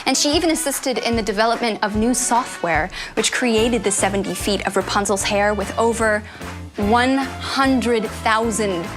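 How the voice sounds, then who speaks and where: An upbeat woman host runs a trivia segment, her voice sitting a little high. Music plays under her throughout. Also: normal speed. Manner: upbeat, quizzing. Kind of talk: scripted presenting with trivia.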